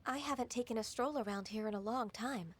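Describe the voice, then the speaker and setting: An actress playing a gentle, modest young secretary in a video game, speaking politely and formally in measured phrases. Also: female voice, on the high side, noisy background, lightly acted. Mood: reflective.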